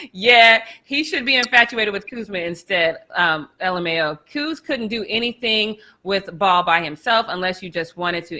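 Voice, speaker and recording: A woman's voice: mid-range voice, bright, forceful tone. A woman co-hosting a live sports talk show, chatting playfully and laughing often. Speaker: co-host. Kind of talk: casual sports chat.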